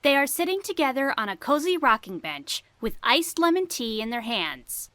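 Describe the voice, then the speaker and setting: Bright, higher-pitched female narrator. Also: sing-song, moderate pace, no echo. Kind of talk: scripted storybook narration.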